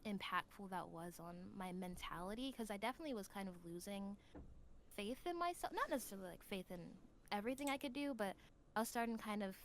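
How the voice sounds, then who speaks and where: A woman with a mid-pitched voice talks casually as an interview guest. She is a voice actor and tells her stories in an animated, giggly manner. There is some faint noise behind her. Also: quick pace.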